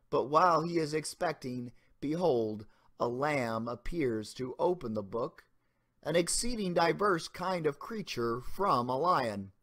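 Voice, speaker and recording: A man giving a dramatic reading of an old sermon as a pastor, in solemn, deliberate, emphatic formal oratory. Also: a little high, bright, forceful tone.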